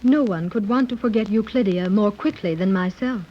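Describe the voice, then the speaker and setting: A woman's voice: medium pitch, normal speed, lightly acted. A woman playing a stiff, formal submarine commander. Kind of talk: 1930s radio serial acting. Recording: muffled old radio recording.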